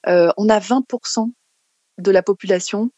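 A woman with a French accent from France answers as an interview guest. She talks spontaneously at a middling pitch, often pausing to find a word and repeating the start of a phrase. The sound is dry, with no room echo. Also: mellow. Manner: halting, searching for words, repeating phrase starts. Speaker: interview guest, community association organiser.

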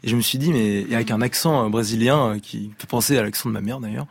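A man's voice: medium pitch, fast, vocal fry, slightly rough, no room sound. A young man from Brittany, a musician guest in a radio interview, who talks with many restarts and fillers. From Rennes, Brittany, France. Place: radio studio.

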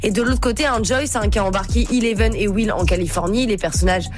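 A woman's voice: medium pitch, fast talker. A young woman giving an effusive, slangy entertainment review as a radio columnist, peppering it with conversational tags. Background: faint music bed.

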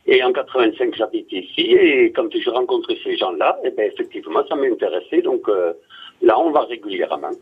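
Man, radio caller, halting and searching for words, husky voice, tinny phone line. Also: lowish voice, big pitch swings. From Netherlands.

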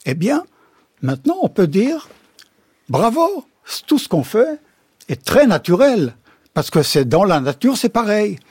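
A man's voice: a little slow, slightly rough, no room sound. A middle-aged man, a philosopher and non-native speaker of the language, giving an ironic, emphatic explanation in a radio studio interview. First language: not French. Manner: expressive, ironic, emphatic with sudden pitch jumps. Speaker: philosopher guest.

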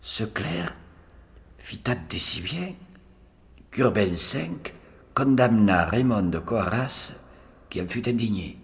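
A man playing a solemn medieval storyteller who recounts a legend slowly and ceremoniously. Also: on the low side, lively intonation.

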